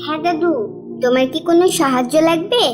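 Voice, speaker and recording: A woman's voice: sits high, fast, music in the background, close to an everyday voice. A woman voicing a sweet, devout, poor little orphan girl in a cartoon. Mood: polite, eager. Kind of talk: cartoon dialogue.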